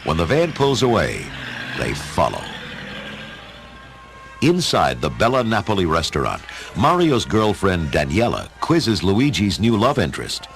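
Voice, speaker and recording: A man's voice: deep voice, wildly swooping pitch, unhurried, faint music behind. A man reading scripted narration for a dramatic audio story.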